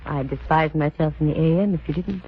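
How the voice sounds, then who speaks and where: Wry female sleuth in 1940s radio drama, poised and sharp-witted, narrow vintage sound with faint noise. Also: low voice, fairly quick. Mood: cool, probing. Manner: wisecracking, poised. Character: wry, quick-witted lady private eye. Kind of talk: 1940s radio detective drama acting, with narration. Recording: tinny, noisy old radio recording.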